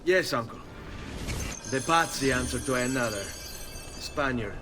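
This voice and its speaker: A man's voice: mid-range voice, animated, unhurried, natural voice. An actor voicing a vengeful young Italian nobleman turned assassin in a video game, with an Italian accent and an earnest, intense delivery. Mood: grim, determined. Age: young adult. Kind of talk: dramatic video game acting. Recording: loud music under the voice. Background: music.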